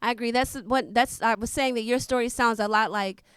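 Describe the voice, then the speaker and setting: Female talk show host, American, informal and colloquial, slightly higher-pitched voice, dry close sound. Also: brisk, a bit bright, clean tone, dry recording. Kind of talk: casual live interview chat.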